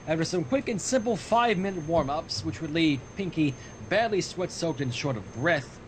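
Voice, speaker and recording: A man's voice: high voice, a little hiss or hum, poor audio. A man reading a story aloud on a livestream and breaking off for casual asides. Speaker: reader of fan fiction on a livestream.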